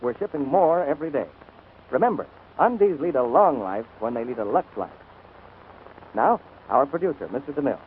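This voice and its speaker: A man's voice: mid-range voice, sing-song, a little fast. A man announcing and reading advertisements in a polished 1940s radio style. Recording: scratchy, narrow-band 1940s radio broadcast.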